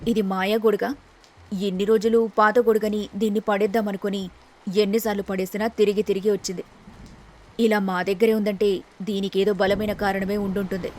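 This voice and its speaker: A woman's voice: mid-range voice, a little mellow, slight background noise, pitched below the performer's own voice, close to an everyday voice. A woman voicing a firm, caring older mother-in-law who gives advice in a storybook tale. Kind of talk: storybook character dialogue.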